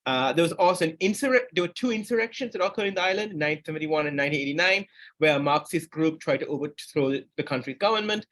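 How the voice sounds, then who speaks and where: A man's voice: fairly high pitch, expressive, quick pace, fairly bright tone. A middle-aged man from Sri Lanka giving an academic seminar lecture on psychology, speaking with frequent restarts and rising emphasis.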